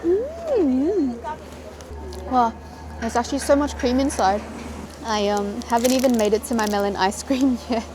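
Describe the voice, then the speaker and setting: A woman's voice: on the high side, expressive, measured pace, noisy background. A woman travel vlogger talking casually to the camera, chatty and upbeat with light giggles. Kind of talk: casual vlog chat to camera. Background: background music.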